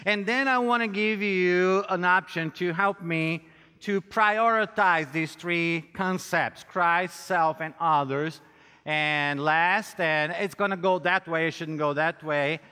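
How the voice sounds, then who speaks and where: A man's voice: high-pitched, pushed and bright, clean tone. A middle-aged man from Brazil with a Brazilian accent, a native Portuguese speaker, preaching to a conference as a pastor and addressing listeners directly in a joking, self-deprecating way. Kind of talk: conference sermon with audience interaction. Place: large hall, speaking to a conference audience. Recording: reverberant hall sound.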